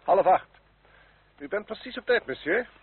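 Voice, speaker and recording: An actor playing a stiffly courteous marquis in an old radio play. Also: male voice, sits high, lively intonation, moderate pace, slightly rough, exaggerated voice. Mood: stiff, formal. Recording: old radio recording, phone-like, noisy.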